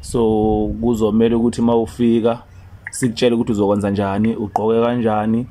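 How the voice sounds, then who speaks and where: A man's voice: on the low side, level tone, quick pace, warm tone. A young man hosting a comedy prank phone call outdoors, talking in casual street style. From South Africa. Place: outdoors beside a parked car under a carport.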